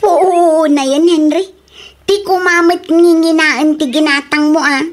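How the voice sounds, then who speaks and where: Casting: actress as a fussy, high-voiced, scolding wife in a radio drama. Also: female voice, fairly even intonation, measured pace. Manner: scolding, complaining. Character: fussy wife who demands quality.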